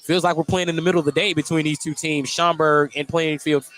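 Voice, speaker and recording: An American man calls a basketball game live for radio as the play-by-play announcer. His voice sits a little higher than most men's. Clear noise and ambience of an indoor gym sit behind him. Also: flat delivery, quick pace, a bit bright.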